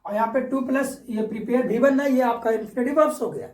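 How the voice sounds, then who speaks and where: A man's voice: very high voice, fast. A man teaching a grammar lesson step by step, repeating points and checking for understanding with short tag questions. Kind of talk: classroom-style grammar lesson, explaining step by step. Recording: echoey room, distant microphone.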